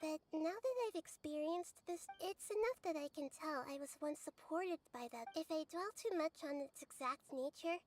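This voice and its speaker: A woman acts as a tiny, wise child goddess from a video game. The voice is very high and youthful, gentle and earnest in its explanations. Clear music plays behind it. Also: normal speed, few pauses, lightly acted. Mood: gentle, reflective.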